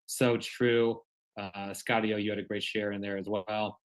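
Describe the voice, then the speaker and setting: A man's voice: on the low side, flat delivery, a little slow, poor audio. A man casually hosting a group video call in an encouraging manner. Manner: encouraging, addresses group as gang. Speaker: host of an online community gathering.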